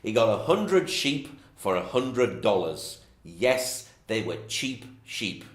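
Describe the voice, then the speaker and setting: A man's voice: fairly low pitch, very animated, slow pace, reverberant. A man narrating a children's Bible bedtime story, with repetitive, sing-song storytelling phrasing.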